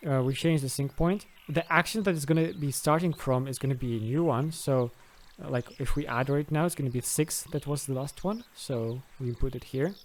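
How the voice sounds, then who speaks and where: A man's voice: medium pitch, moderate pace, hardly any pauses. A man giving an unscripted screen-recorded tutorial as its host, hesitant and thinking aloud step by step. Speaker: host of a video game modding tutorial.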